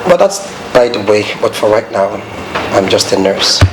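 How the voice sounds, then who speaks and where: A man's voice: fairly low pitch, lively intonation, normal speed. Young nigerian guy chatting in an interview, says you know a lot, roomy studio with hum. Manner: earnest, frequent "you know" fillers. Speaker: interview guest, nurse. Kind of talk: casual interview talk. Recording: echoey studio with background noise.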